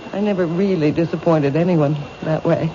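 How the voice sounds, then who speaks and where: A woman acting in a radio mystery as a calm but fearful bookshop owner, speaking hesitantly and with composure. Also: low-pitched. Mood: guarded. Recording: muffled, noisy old radio recording.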